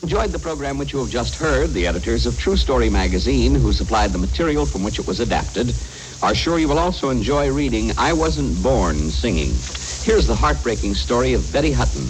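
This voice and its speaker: A man's voice: medium pitch, lively intonation, brisk. A man reading a scripted announcement as an old-time radio announcer. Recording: slightly noisy vintage radio broadcast.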